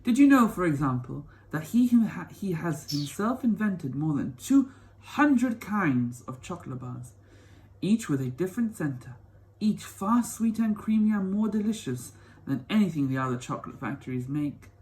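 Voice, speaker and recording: Excitable elderly grandfather for a storybook, wonder-struck, emphatic, relishing every detail; played by a male reader. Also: high voice, normal speed, voice pushed up. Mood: excited, marveling. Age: older. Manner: exclamatory, emphatic, savoring each detail. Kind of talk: children's storybook read-aloud.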